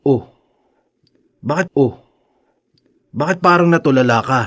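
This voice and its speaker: An actor playing a loyal, encouraging friend of a prisoner, earnest and reassuring, in a radio drama. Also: male voice, a little high, unhurried, fairly bright tone.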